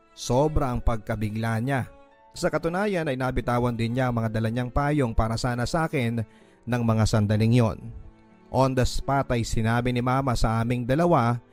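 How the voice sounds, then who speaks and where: A man's voice: medium pitch, darkish tone. Filipino male storyteller over background music. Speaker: radio drama narrator. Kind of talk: dramatic first-person letter storytelling.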